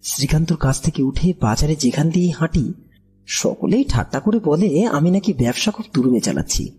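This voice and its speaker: An actor playing a bewildered village trader in an audio horror drama, speaking in an anxious, hesitant, pleading way with a husky, breathy voice. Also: male voice, a little high, expressive, moderate pace, warm tone. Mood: uneasy recounting. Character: frightened, bewildered village trader recounting his strange ordeal. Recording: background music under the voice.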